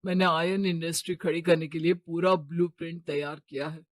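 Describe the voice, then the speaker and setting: A man's voice: on the high side, flat delivery, a little fast, no echo, natural voice. A man voicing an earnest, respectful young suitor of modest means in a cartoon moral story. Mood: earnest pleading.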